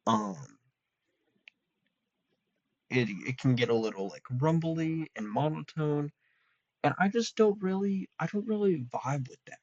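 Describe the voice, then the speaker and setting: A young man talks slowly and loosely, his slightly nasal voice turning gravelly and creaky. He rambles with many ums and restarts. The recording is dull and lo-fi, with a dry sound. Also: a little high, animated, no room sound. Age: young adult. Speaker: vlogger. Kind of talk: casual solo chat.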